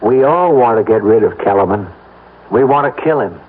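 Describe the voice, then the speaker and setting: An actor playing a vengeful, insistent conspirator in an old radio drama, pressing someone toward murder. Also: male voice, fairly low pitch, expressive, moderate pace. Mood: menacing, insistent. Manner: insistent, menacing. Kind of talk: 1970s radio drama acting. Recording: muffled old radio broadcast.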